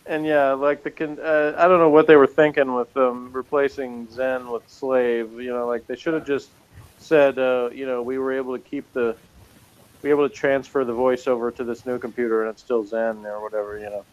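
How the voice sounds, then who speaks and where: A man's voice: mid-range voice, little pitch movement, a little fast, muffled recording. A man co-reviewing a TV episode in casual chat, sardonic and joking, with lots of fillers. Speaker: podcast co-reviewer. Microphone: remote call, off camera.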